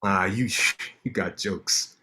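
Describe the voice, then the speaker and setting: An actor playing a cocky, sharp-tongued male lawyer in a staged play reading, teasing his friend with quick banter. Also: low-pitched, slight background noise, exaggerated voice.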